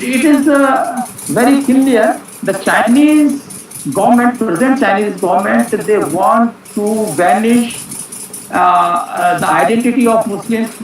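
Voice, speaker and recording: A woman with an Indian accent delivers a formal speech in a halting, stumbling way. Her voice is rather high and comes through very echoey, away from the microphone. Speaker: webinar panel speaker. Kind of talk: formal prepared speech, partly read out. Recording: very echoey, distant microphone, faint musical rattle.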